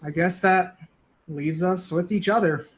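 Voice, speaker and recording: A man's voice: high-pitched, measured pace. A man playing a down-on-his-luck, bickering but conciliatory neighbor in a stage play, talking in a wry, colloquial way. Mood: earnest, pleading.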